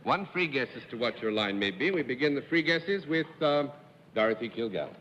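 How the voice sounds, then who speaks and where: Man, lively joking game show host, dull old broadcast sound, long studio reverb. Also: medium pitch, a little fast, slight background noise. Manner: genial, joking, laughs often. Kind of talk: live TV game show hosting.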